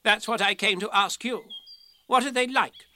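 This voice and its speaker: A man's voice: high-pitched, moderate pace, sharp tone, slight background noise, voice pushed up. A man voicing a self-important, impatient rabbit in a children's story, curt, emphatic and officious. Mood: insistent. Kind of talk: children's storybook character reading.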